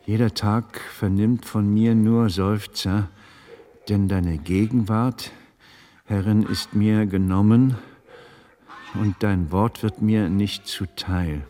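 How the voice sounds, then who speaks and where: An actor playing a shrewd, courteous gentleman of old Italy in a German radio play, with formal, measured delivery. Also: fairly low pitch, steady tone. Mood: yearning, solemn.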